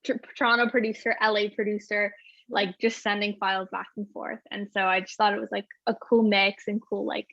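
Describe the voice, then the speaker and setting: A woman in her twenties talks breezily about her music, bubbly and quick to laugh, filling every pause with "like." Her voice comes through muffled and lo-fi, with a dead dry sound like a video call. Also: medium pitch, flat delivery, vocal fry, a bit bright, no echo. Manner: bubbly, says "like" constantly, laughs often. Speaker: singer-songwriter guest. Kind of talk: casual interview chat.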